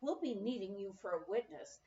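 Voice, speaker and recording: A woman voicing a stern male district attorney in a memoir, suspicious and quietly threatening with pointed questions. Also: mid-range voice, big pitch swings, a little fast, reverberant, far from the mic, dull audio, a little hiss or hum, close to an everyday voice. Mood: suspicious, accusing.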